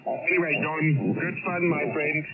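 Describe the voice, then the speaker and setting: A man chatting as an amateur radio operator, explaining things in a halting, thoughtful way over a shortwave radio link. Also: a little high, fairly even intonation, over a noisy crowd. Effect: shortwave radio transmission. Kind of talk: casual radio chat, reflective monologue. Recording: muffled band-limited radio signal, loud babble.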